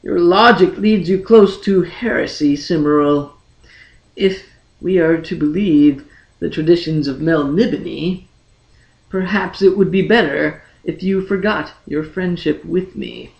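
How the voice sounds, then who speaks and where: A man's voice: on the high side, a little slow, vocal fry, highs cut off. A man voicing a brooding, melancholy young emperor in a fantasy audiobook, grave, formal and fatalistic. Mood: melancholy, ironic. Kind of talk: dramatic fantasy audiobook character reading.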